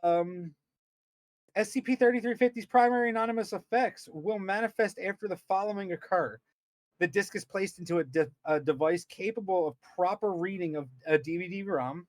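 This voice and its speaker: A man's voice: high-pitched, moderate pace, no room sound. A man co-hosting a podcast, reading aloud with casual commentary, stumbling over words and repeating himself as he goes. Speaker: podcast co-host.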